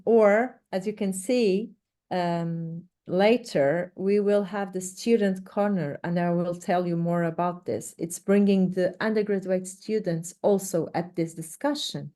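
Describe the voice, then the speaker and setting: A woman from Portugal, a native Portuguese speaker with a Portuguese accent, presents an instructional webinar in a halting, hesitant way with many pauses. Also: medium pitch, dark tone. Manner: hesitant, halting delivery with frequent pauses and repeated words. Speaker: research director presenting a webinar.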